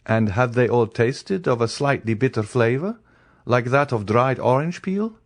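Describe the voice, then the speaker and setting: A man voicing a grave, calm nobleman who gives measured, solemn warnings in a protective, fatherly way. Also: medium pitch, no echo, clean studio sound, pitched above the performer's own voice.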